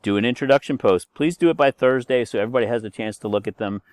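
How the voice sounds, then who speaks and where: A man's voice: mid-range voice, very fast, darkish tone, dry recording, clean studio sound. A man in his sixties giving a recorded course introduction as the instructor, chatty and rambling with many fillers and restarts. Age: 60s.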